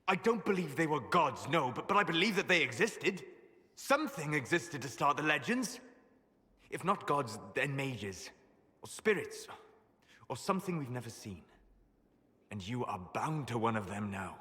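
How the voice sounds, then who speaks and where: A man voicing a calm, learned male elf mage who speaks in a measured, somber, lecturing way. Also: a little high, in a large hall. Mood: grave.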